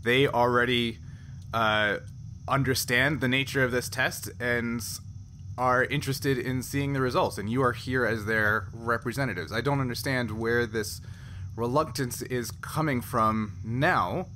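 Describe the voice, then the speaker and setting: A man's voice: medium pitch, steady tone, measured pace, bright, forceful tone, slight background noise. A man playing an impatient, defensive male scientist in a tabletop roleplay, speaking formally and insistently. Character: testy, defensive male chief scientist, impatient but polite.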